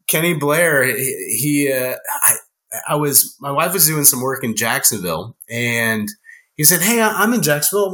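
A man's voice: mid-range voice, pushed and bright. A man telling friendly stories as a podcast guest in casual chat, rambling with many restarts and fillers.

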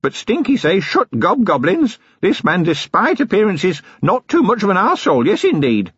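A man's voice: high voice, wildly swooping pitch, moderate pace, fairly bright tone, husky, dry recording, lo-fi sound, pitched above the performer's own voice, full character voice. A man voicing a small, wily male goblin with a cracked, hissing voice and clipped, broken speech. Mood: sly urgent.

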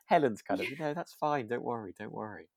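A woman's voice: extremely low pitch, lively intonation, fast talker, darkish tone, dry recording, soft background music. A woman speaks in a dry recording with very little room sound. She chats informally as a podcast co-host, agreeable and a little hesitant, feeling out her thoughts as she goes. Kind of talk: relaxed casual chat about a radio drama.

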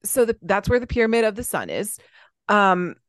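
A woman's voice: medium pitch, sing-song, normal speed, no room sound, studio quality. A woman co-hosting a podcast, reading researched notes in an informal way and chatting casually between them. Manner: informal, stumbles over foreign names. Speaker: podcast co-host.

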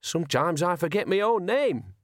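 A man voicing a nervous, wheezy cart driver in an audiobook, babbling in rough colloquial grammar and eager to please. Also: sits high, very animated, normal speed, no echo, studio quality, voice pushed up. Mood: panicked babbling. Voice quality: wheezy when panicking. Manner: rambling, uneducated colloquial grammar. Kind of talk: audiobook character voice.

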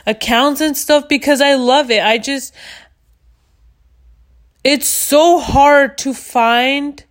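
Loud, blunt woman in her twenties. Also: high voice, slow pace. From United States. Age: 20s. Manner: loud, blunt, swears freely. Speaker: podcast host. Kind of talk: casual chat.

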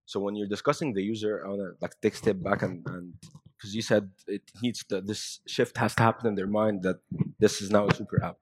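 Low-voiced man from Egypt on a panel. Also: steady tone, brisk, husky. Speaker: panelist, app company representative. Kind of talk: conversational panel discussion.

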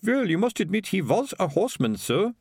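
A man's voice: high-pitched, very animated, brisk, gravelly, darkish tone, slightly rough, dry recording, clean studio sound, pitched above the performer's own voice, full character voice. A man voicing a deferential, literal-minded town watch sergeant with a dutiful, puzzled manner in a storybook reading.

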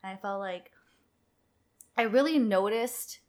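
A woman's voice: medium pitch, measured pace. A young adult woman hosting a podcast, asking long curious questions and relating them to her own life. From Canada, raised in Vancouver. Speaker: podcast host, hairstylist. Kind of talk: casual interview chat.

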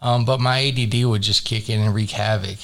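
A low-pitched man in his thirties, from North Carolina, speaks as a studio podcast guest. He talks in a relaxed, halting way, leaning on fillers as he recounts his past. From grew up in North Carolina. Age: 30s. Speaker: guest in recovery. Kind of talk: casual interview.

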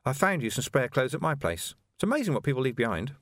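A man voicing a sharp, practical young woman who talks briskly and wryly. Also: mid-range voice, big pitch swings, no echo, clean studio sound, lightly acted. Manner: brisk, wry.

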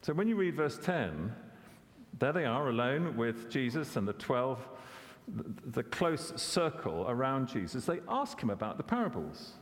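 A man's voice: medium pitch, very animated, measured pace, big-room sound. A man preaching a sermon, conversational and questioning, often repeating words as he corrects himself. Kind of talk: expository sermon.

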